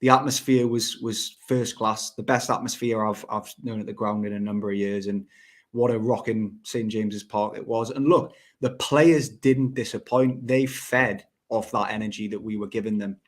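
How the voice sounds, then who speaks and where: A man's voice: fairly low pitch, fairly even intonation, moderate pace. A man of about thirty, an enthusiastic football fan on a podcast, speaking emphatically with a voice just back after losing it. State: voice recovering after being lost. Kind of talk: casual football match review.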